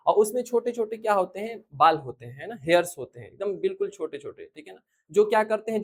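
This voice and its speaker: Indian science teacher, question-and-answer lecturing. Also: mid-range voice. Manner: repeats questions and answers them, frequent check-in tags. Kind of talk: classroom lecture to school students.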